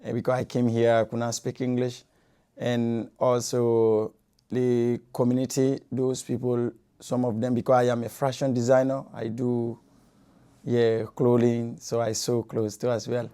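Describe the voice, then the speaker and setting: A man's voice: mid-range voice, completely flat delivery, unhurried, warm tone, clear, resonant tone. A man from Guinea, earnestly describing his life in simple phrases. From Guinea, West Africa. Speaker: local resident, fashion designer. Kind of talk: personal account.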